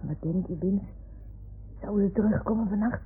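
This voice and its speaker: A woman playing a wistful, stubborn little boy in a radio play, speaking with childlike earnestness and a creaky edge. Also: medium pitch, moderate pace, natural voice. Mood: pleading, playful. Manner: childlike, earnest, naive storytelling. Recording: tinny, faintly noisy 1960s-70s radio recording.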